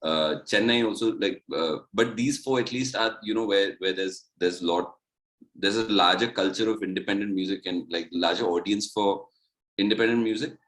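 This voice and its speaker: Young male musician, from India, very low voice, easygoing rambling talk. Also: moderate pace, rough recording. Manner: relaxed, rambling, heavy use of "like, you know". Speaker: musician guest. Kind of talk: casual interview chat.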